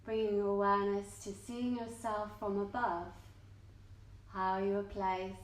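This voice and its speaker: A woman teaching yoga nidra, leading a guided meditation gently in short, measured phrases with long pauses. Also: mid-range voice, little pitch movement. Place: indoor yoga studio, live class. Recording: roomy, echoing yoga studio.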